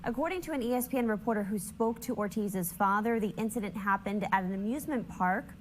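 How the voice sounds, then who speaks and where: A female local TV anchor opens the newscast in a measured, formal delivery, with faint ambience behind her. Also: medium pitch, slight background noise. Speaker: news anchor. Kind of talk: broadcast news reading.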